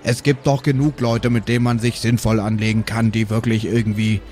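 A man's voice: fairly low pitch, level tone, fairly quick, a little mellow, lightly acted. A man playing an exasperated, scolding brother in an improvised fantasy role-play audio drama. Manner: scolding, reproachful.

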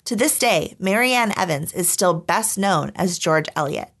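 A woman hosting a podcast, reading scripted narration in a measured, articulate storytelling manner. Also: lowish voice, expressive, a bit bright. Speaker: podcast host. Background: background music.

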